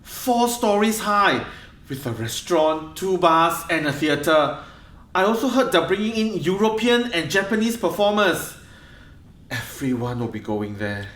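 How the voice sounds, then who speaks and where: A roomy recording of a grumbling Singaporean nightclub boss, played by a man in a radio drama. His voice sits a little high and moves up and down a lot. He is harried, cutting and sarcastic. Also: sing-song, a little slow, fairly bright tone, heavily acted. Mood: gloomy, grumbling. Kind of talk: radio play acting.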